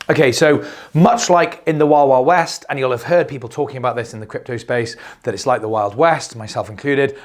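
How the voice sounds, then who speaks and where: A middle-aged man hosting a crypto video, talking off the cuff as he loses his voice, rambling and repeating words with many fillers. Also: mid-range voice. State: losing his voice. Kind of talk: unscripted explainer monologue.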